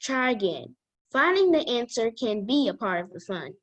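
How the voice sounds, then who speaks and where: A woman reading a children's self-help book aloud as a guest reader, in a careful, halting manner. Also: fairly high pitch, sing-song, fairly bright tone.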